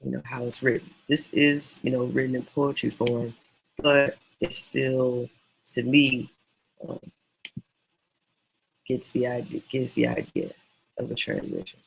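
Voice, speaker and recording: A man teaching writing in a casual, meandering, encouraging way, his voice going hoarse. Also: medium pitch, animated, a little slow, pauses often, a little hiss or hum, rough recording. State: losing voice, hoarse. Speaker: self-published author teaching writing.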